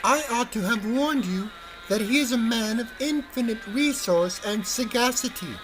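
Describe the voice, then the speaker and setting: Tiny high-pitched clever fish. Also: male voice, expressive, normal speed, poor audio. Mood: advising. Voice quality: small, squeaky voice. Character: small shrewd talking fish, polite and sly.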